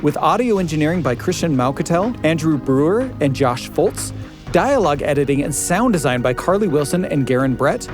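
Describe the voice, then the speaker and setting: A man's voice: fairly high pitch, sing-song, a little fast. A man hosting a family story podcast, mixing scripted announcements with casual discussion. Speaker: podcast host. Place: dry studio. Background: light music.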